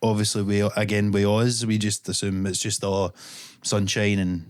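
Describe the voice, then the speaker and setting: A man's voice: on the low side, little pitch movement, moderate pace, smooth. A Scottish man hosting a podcast, chatting casually, laughing often and restarting his sentences. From Scotland. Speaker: podcast host. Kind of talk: casual chat.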